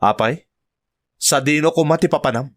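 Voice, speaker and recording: A man's voice: a little high, moderate pace, natural voice. A man with an Ilocano Filipino accent playing a cruel, domineering master of the house in an audio drama. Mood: bossy. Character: cruel, domineering man keeping a woman as servant. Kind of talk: amateur radio-style drama acting. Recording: music behind the dialogue.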